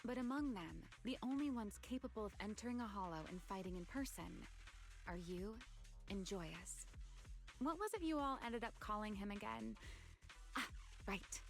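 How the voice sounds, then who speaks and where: A woman playing a composed, enigmatic fighter and mentor in an anime-style game, unhurried, playful and slightly formal. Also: medium pitch, animated, creaky, faint music behind. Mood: gentle, amused.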